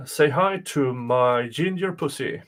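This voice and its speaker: A man's voice: medium pitch, slow, a bit bright. A man co-hosting a talk show, chipping in with casual remarks. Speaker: podcast co-host. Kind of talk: casual chat with short reactions.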